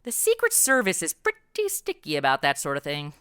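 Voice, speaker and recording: A woman's voice: low voice, wildly swooping pitch, brisk, fairly bright tone, clean tone, no echo, studio quality, faint music behind, exaggerated voice. A woman with an American accent playing a brash, flippant superheroine, sarcastic and irreverent, in a comedy audio drama.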